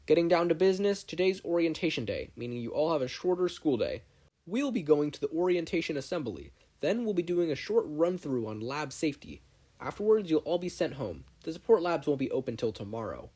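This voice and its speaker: A performer voicing a brisk, projecting hero teacher. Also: medium pitch, big pitch swings, dark tone, slightly rough, voice pushed up. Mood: businesslike. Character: businesslike adult male hero teacher addressing class.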